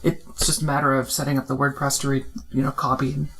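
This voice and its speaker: A woman's voice: a bass voice, little pitch movement, fast, a bit bright, poor audio. A woman, one of a podcast's creators, chatting casually in a group and repeating phrases for emphasis.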